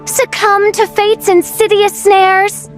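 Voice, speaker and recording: A woman's voice: extremely high pitch, level tone, slow pace, soft background music. A woman voicing a grandiose young princess of doom in a video game, speaking in a melodramatic, pompous, old-fashioned manner. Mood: dramatic lament. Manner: melodramatic, pompous, archaic wording.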